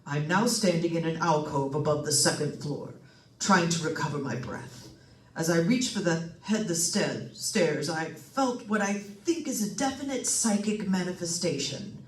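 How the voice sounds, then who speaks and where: A fairly high-voiced man acting as a solemn doctor investigating a haunting, who reports formally and precisely as in a vintage radio thriller. The recording is roomy and distant. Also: a little slow, close to an everyday voice. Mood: breathless, grave. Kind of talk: old-time radio suspense drama acting. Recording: echoey room, distant mic.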